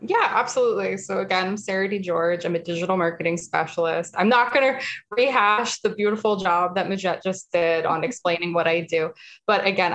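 A woman guest in a casual interview, talking in a calm, even way that she calls monotone. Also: fairly low pitch. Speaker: guest, marketing specialist.

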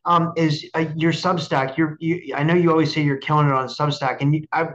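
Halting man host in dull lo-fi sound. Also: a little high, fairly even intonation, a bit bright. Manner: halting, repetitive, searching for words. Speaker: podcast host interviewing a guest. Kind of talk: casual interview chat.

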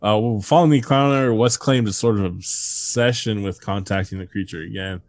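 A man's voice: on the low side, a little fast, a little mellow. A man hosting a comedy podcast, reading strange stories aloud and breaking into laughter and asides. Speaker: podcast host reading a countdown list.

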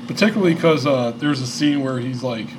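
A man's voice: mid-range voice, fairly quick, noisy background. A man in his thirties hosting a podcast, telling a story in a rambling, profane way with lots of fillers. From Michigan, United States. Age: 30s. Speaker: podcast host. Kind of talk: casual podcast chat.

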